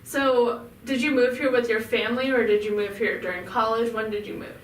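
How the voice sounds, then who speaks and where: Chatty woman podcast host, mid-pitched, in an echoey room, away from the mic. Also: steady tone, fairly quick, recorded from a distance, slight background noise. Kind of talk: podcast interviewing.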